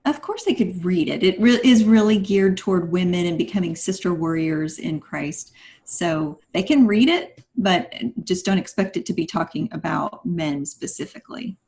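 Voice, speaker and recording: A woman's voice: fairly low pitch, moderate pace, a little mellow. A woman talking conversationally as a guest co-host on a podcast. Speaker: guest co-host, author and life coach.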